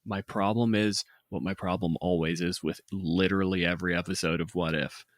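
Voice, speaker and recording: A man's voice: low voice, steady tone, a little fast, dry recording, clean studio sound. A man co-hosting a podcast in casual, conversational discussion. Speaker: podcast co-host.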